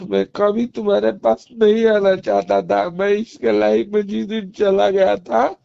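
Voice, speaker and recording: A man's voice: high-pitched, flat delivery, unhurried, creaky, mellow, smooth, no room sound. A man with a Bhojpuri accent in an emotional private argument, pleading and agitated, repeating himself, on a recorded phone call. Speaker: caller in a personal phone argument.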